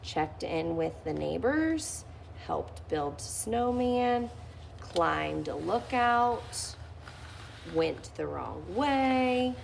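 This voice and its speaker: A woman's voice: medium pitch, big pitch swings, drawn-out words, gravelly, a little hiss or hum. A woman reading a children's picture book aloud, lifting her voice expressively on key phrases. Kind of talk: read-aloud storytelling.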